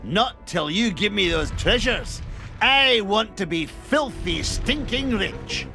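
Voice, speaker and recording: A man playing a greedy, bitter old rival tycoon duck with a gruff, whiny way of talking, over game music. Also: sits high, expressive, takes it slowly, bright, forceful tone, full character voice.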